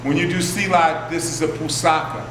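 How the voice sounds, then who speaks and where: An older man, a martial arts teacher, speaking in a relaxed, rambling way to a workshop group. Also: on the high side, normal speed. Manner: relaxed, rambling, warmly introducing. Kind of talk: workshop talk to students. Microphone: far from the mic. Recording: echoey room from far off, hum.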